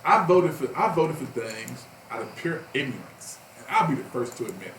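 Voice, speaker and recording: A man's voice: mid-range voice, very animated, fairly quick, fairly bright tone. A man of fifty co-hosting a talk radio show, passionate and emphatic, rising to outbursts. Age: 50s. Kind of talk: heated talk radio discussion. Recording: roomy, slightly distant, faint background noise.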